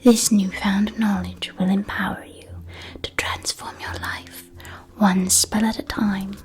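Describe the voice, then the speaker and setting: A woman's voice: mid-range voice, level tone, measured pace, close to an everyday voice. A woman playing a courteous, doting shopkeeper of a witch school in an ASMR roleplay, speaking in a genteel, old-fashioned way. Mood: enchanting, reverent.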